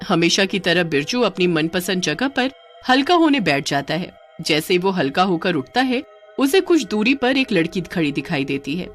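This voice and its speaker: A woman's voice: on the low side, very animated, moderate pace, soft background music. A woman narrating a scripted story as a storyteller.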